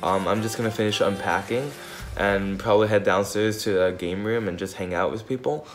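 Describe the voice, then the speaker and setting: A young man from California narrating a casual travel vlog in a small dorm room, talking into a phone at arm's length in a relaxed way with fillers. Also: fairly low pitch, brisk. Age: young adult. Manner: relaxed, laid-back with fillers. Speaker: vlogger. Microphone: phone held at arm's length. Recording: background music under the voice.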